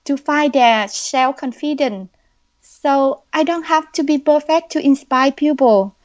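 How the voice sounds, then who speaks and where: A young woman with a Vietnamese accent, speaking slowly and earnestly as a podcast guest. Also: high voice, a little mellow. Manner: slow, careful, earnest speech. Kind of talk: podcast interview answers. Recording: dull lo-fi audio.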